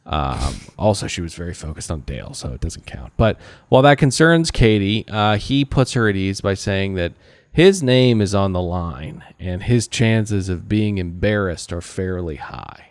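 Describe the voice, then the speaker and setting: A man's voice: low voice, very animated, normal speed, no room sound. A man co-hosting a podcast, giving a casual comedic recap in a sardonic, sweary way. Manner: sardonic, profane. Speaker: podcast co-host recapping a TV show.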